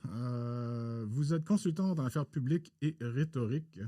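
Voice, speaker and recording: A man hosting a podcast interview in a formal, halting way with many pauses. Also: medium pitch, mellow, no room sound, clean studio sound.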